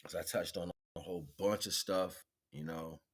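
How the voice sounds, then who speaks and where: Very deep-voiced man, casual streamer. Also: steady tone, moderate pace, husky. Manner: rambling, slangy, repeats catchphrases. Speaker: livestream host. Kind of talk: casual livestream chat.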